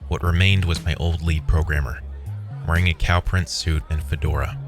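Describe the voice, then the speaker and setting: A man's voice: rumbling low, steady tone, normal speed, over music. A man playing a weary, wry programmer who narrates his own story as a scripted first-person audio drama. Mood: wry, reflective.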